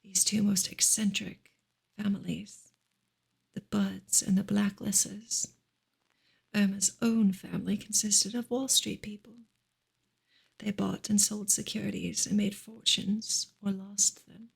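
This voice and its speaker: A woman gives a soft ASMR reading of a book, breathy and often close to a whisper, in a gentle, measured way. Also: medium pitch, level tone, rough recording. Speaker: streamer reading a novel aloud.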